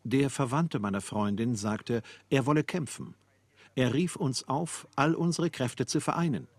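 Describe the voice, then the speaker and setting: A proud, earnest male factory worker in a literary radio play. His mid-pitched voice is husky and recorded very dry as he tells the workers' story. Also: a little slow, a little mellow, no echo.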